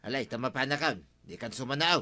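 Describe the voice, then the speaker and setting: A man's voice: medium pitch, fast talker, pushed and bright. A man playing a blunt, grumbling village firewood seller in a Filipino radio comedy drama.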